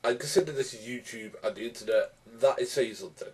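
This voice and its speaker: Hoarse young man with a cold, argumentative vlogger, dark tone, set back from the mic. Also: mid-range voice, fast, recorded from a distance. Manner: frequent restarts, argumentative. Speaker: YouTube commentator. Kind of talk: casual rebuttal vlog.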